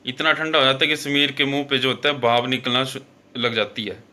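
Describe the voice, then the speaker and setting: A man hosts a horror show and tells the tale in a loose, conversational style. His voice sits at an average pitch with a very sharp, forceful brightness. He leans on repeated filler phrases and often corrects himself as he goes. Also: level tone, fairly quick. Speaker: host and storyteller of a horror show. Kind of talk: informal horror storytelling narration.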